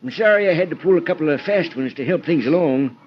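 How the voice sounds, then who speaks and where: A crafty old country constable played by a male actor speaks high and animatedly in a folksy rural American drawl. He teases and plays dumb, in a 1940s radio mystery style with dull, dated sound. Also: expressive, quick pace, slight background noise, close to an everyday voice. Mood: sly, satisfied. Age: older. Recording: dull vintage radio recording.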